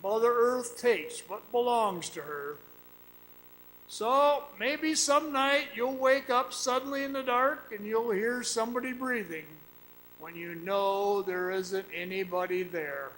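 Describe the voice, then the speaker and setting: An actor playing a sinister storyteller devoted to an underground fire cult, confiding and taunting in a radio drama monologue. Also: male voice, extremely high pitch, takes it slowly, full character voice, low-quality recording. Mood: quietly menacing. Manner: menacing, taunting, confiding, addressing the listener. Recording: loud music bed under the voice. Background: loud dramatic music.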